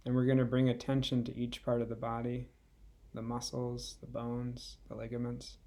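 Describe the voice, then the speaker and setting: Male meditation guide: soft-edged mellow tone with noticeable vocal fry, gentle delivery, patient pauses. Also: medium pitch, monotone. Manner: gentle, unhurried, with long pauses between phrases. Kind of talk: guided body scan meditation.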